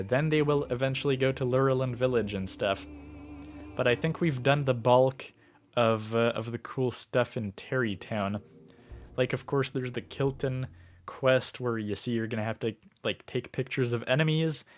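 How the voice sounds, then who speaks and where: A man streaming a video game, musing aloud with lots of fillers while sick and losing his voice. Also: mid-range voice. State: sick, starting to lose his voice. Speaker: gaming livestreamer. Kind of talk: casual gameplay commentary.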